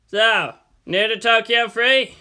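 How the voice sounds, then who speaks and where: A weary older government agent played by a man reading a story. He speaks deliberately and conversationally, with a sharp, forceful edge. His menace stays restrained. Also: extremely high pitch, clear, resonant tone, voice pushed up, heavily acted. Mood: mock friendly.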